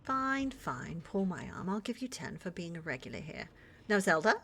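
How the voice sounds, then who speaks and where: A woman's voice: fairly low pitch, very animated, moderate pace, fairly bright tone, slight background noise. Woman acting a flirty, sly witch shopkeeper, swooping intonation. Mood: teasing. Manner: coaxing, teasing, addresses listener as dear. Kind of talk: cinematic ASMR fantasy roleplay.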